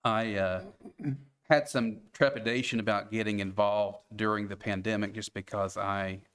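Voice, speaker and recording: A man in his forties with a Tennessee accent, speaking earnestly with halting restarts as an applicant at a town board meeting. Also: fairly low pitch, fairly even intonation, a bit bright, clean tone. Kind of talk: interview for a board appointment.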